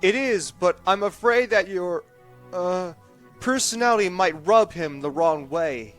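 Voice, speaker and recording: A man's voice: high-pitched, measured pace, a bit bright, faint music behind. A man voicing an earnest, uptight young male pony prince who talks stiffly and anxiously, in a fan audio drama.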